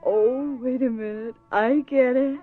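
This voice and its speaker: An actress playing a teasing, skittish wife in a radio drama, bantering and protesting with sarcasm. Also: female voice, on the high side, steady tone, measured pace, phone-quality sound, natural voice. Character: teasing, exasperated, skittish wife on her anniversary. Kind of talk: naturalistic radio drama acting.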